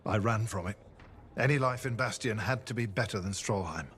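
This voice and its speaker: A man plays a haunted defector spy in a video game. His voice is fairly low and his manner measured and reflective. Faint noise sits behind the earnest, grave delivery. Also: fairly bright tone, natural voice. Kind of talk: video game dramatic acting.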